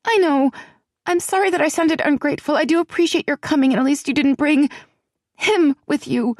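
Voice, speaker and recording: A woman voicing a frightened young woman who speaks high and breathlessly to her friend. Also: a little fast, darkish tone, no echo, clean studio sound, lightly acted. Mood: anxious.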